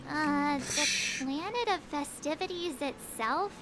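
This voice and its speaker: An actress playing an earnest, gentle young woman in a video game, with music behind her. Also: high-pitched, takes it slowly. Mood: earnest, puzzled. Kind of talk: scripted video game dialogue. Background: loud game music.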